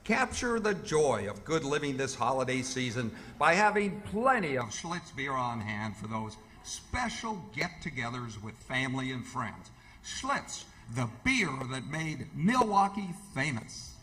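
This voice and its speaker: A man's voice: mid-range voice, sing-song, measured pace, pushed and bright. A man making a formal, showy sponsor announcement in the style of old-time radio. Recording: hall reverb, music behind.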